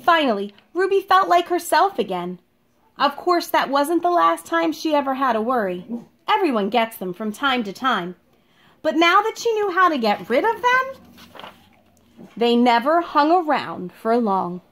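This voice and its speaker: A woman with a high voice and very lively intonation, speaking as a schoolteacher to young children. She has an expressive, deliberate storytime delivery, as in a read-aloud made at home. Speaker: elementary school teacher reading a picture book aloud. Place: living room at home.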